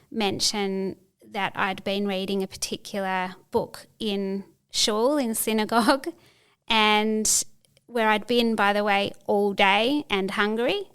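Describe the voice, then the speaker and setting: Middle-aged woman, interview guest, very clean smooth voice, fairly flat delivery, thoughtful with restarts. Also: mid-range voice. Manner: thoughtful, searching for words with frequent restarts. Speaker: podcast guest. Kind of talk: reflective interview conversation.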